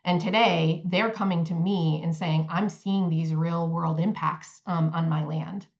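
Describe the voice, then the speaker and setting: A woman's voice: fairly low pitch, steady tone, a little slow. A woman answering conversationally as a panelist, heard over slightly dull video-call audio. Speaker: panelist working with forest landowners.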